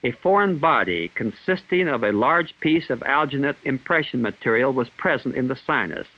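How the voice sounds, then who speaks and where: A man reading scripted instructional narration in a formal, measured, clinical manner, in the style of a 1960s training film. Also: mid-range voice, expressive. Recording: dull 1960s film soundtrack.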